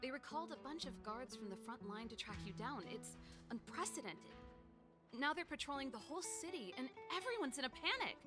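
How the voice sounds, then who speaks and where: A woman's voice: high voice, very animated, a little fast. A woman playing an easygoing, self-assured mechanic and former researcher in a video game, breezy and confiding, with theatrical delivery. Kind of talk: scripted game dialogue. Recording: game music behind the voice.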